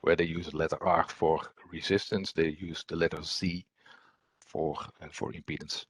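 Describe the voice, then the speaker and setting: Deep, husky man presenting a technical webinar. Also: flat delivery, moderate pace, dry recording. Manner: hesitant, repetitive phrasing. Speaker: presenter, application engineer.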